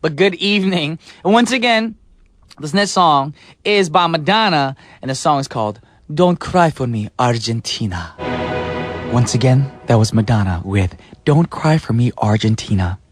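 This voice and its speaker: A man's voice: mid-range voice, animated, normal speed. A man hosting a radio music show, playful and chatty, sometimes breaking into sung bits and silly voices. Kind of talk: upbeat radio DJ patter. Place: radio studio. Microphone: studio microphone.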